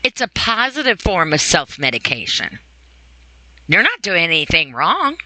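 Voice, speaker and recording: A woman's voice: lowish voice, sing-song, a little slow, sharp tone, no room sound. A woman hosting an informal, opinionated podcast monologue, speaking emphatically and repeating phrases for stress. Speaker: podcast host.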